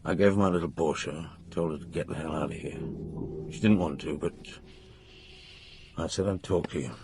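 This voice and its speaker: An actor in a radio drama playing a gruff, dejected married man protecting his lover, with a very husky voice. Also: very deep voice, moderate pace, background noise. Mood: grave, resolute.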